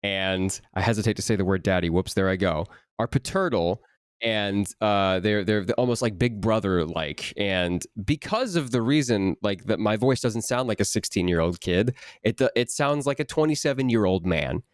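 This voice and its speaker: Young male guest, lowish voice, lively, dry recording. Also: moderate pace, no room sound. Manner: animated, many restarts, rising for emphasis. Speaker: voice actor guest. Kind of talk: casual interview chat.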